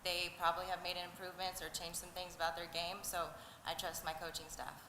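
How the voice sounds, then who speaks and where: A woman's voice: on the low side, completely flat delivery, a little fast. A woman fighter giving brief, somewhat hesitant answers at a press conference. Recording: echoey hall, faint noise.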